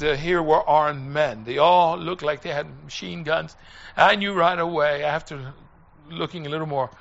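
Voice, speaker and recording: An older man from Puerto Rico preaching through personal testimony in an earnest, halting way, with pauses and repeated phrases. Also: on the high side, gravelly, fairly bright tone, muffled recording, a little hiss or hum. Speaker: preacher and former missionary.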